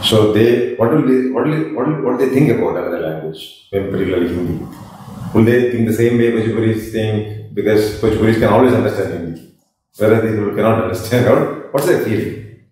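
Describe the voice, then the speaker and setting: A man's voice: lowish voice, lively intonation, a little fast, a little mellow, recorded from a distance. A man on an interview panel who rephrases and presses his questions. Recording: echoey room from afar with clear background noise.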